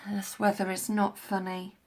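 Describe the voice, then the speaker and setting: A woman's voice: medium pitch, completely flat delivery, normal speed. Woman with a head cold. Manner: rambling, chatty, many fillers and restarts. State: congested with a head cold, coughing. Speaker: craft vlogger. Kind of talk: casual live-stream chat while crafting. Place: at home indoors. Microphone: tablet.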